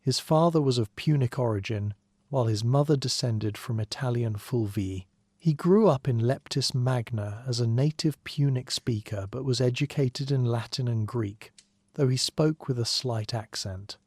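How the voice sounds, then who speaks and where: A man narrating a documentary in a gentle, even, scripted way, with dry asides. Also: medium pitch, moderate pace, no room sound. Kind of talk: calm scripted sleep narration.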